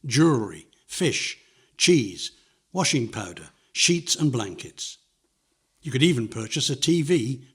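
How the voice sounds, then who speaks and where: Older male narrator, husky voice at middling pitch, measured nostalgic memoir read. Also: fairly bright tone. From London, England.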